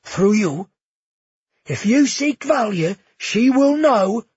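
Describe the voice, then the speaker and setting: Man doing a gushing old innkeeper, high and fluttery, husky, fawning, dull dry recording. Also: animated, takes it slowly, darkish tone, no echo, heavily acted. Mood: nervous. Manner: effusive, gushing, exclamatory. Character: giddy old male tavern keeper, fawning.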